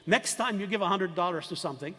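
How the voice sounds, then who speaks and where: An older man lectures in a discursive, emphatic way and often poses rhetorical questions to his audience. His voice sits a little high for a man. The sound carries a long reverb from a lecture hall. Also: fast, fairly bright tone. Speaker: professor lecturing. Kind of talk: university lecture.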